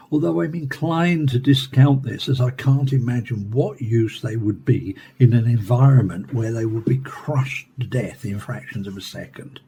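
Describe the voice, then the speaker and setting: An academic expert in a science fiction radio play. His voice is mellow and mid-pitched, and he explains things in a formal, deliberate way. There is faint background noise around him. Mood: measured, speculative. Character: measured male Cambridge professor explaining alien attacks.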